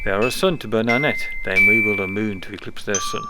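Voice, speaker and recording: A man's voice: fairly low pitch, fast, close to an everyday voice. A man reading as a frail, solemn old emperor over music. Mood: weary, defiant. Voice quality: weak, faint voice. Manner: solemn, formal commands. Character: old ailing Chinese emperor, weak yet commanding. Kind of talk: storybook reading. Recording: music behind the voice.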